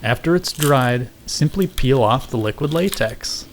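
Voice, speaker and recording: A man's voice: mid-range voice, expressive, a little slow, vocal fry, noisy background. A man giving scripted how-to narration in a wry, mock-grand way. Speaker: host and craftsman.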